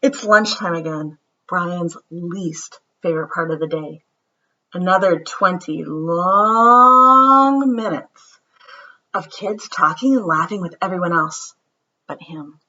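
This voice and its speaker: A woman reading a picture book aloud to children in an expressive, dramatic storyteller's manner with emphatic pauses. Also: fairly low pitch, dull audio.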